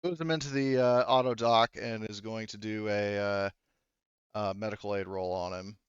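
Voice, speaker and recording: Male game master, smooth lowish voice, thinks aloud haltingly through rules and improvised scene narration. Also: steady tone, no room sound, lo-fi sound.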